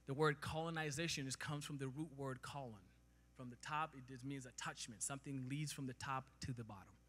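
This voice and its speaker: A man in his thirties from Kenya, preaching a sermon on stage with a handheld mic, building to loud emphatic peaks. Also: medium pitch. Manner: preaching with rising emphasis, gesturing while walking the stage. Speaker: pastor. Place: large church stage, indoors. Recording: long hall reverb.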